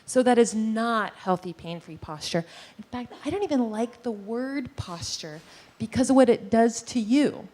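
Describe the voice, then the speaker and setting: A woman giving a lively presentation on posture and breathing to a live audience in a meeting room, guiding them through movements. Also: fairly high pitch, normal speed. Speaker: posture and breathing teacher giving a workplace talk.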